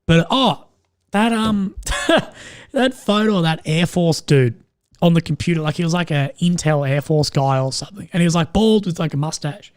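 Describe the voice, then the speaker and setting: A young man with a strained, slightly croaky voice, rambling and swearing as he chats with viewers on a live stream. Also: fairly high pitch, normal speed, no echo, clean studio sound. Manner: rambling, swears often, laughs at own jokes. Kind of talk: casual live stream chat.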